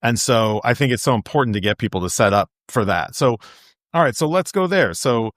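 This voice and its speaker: An American male podcast host with a medium-pitched voice. He interviews conversationally, wandering through his thought and stressing key points. The recording is dry, with little room sound. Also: animated, brisk, fairly bright tone, dry recording.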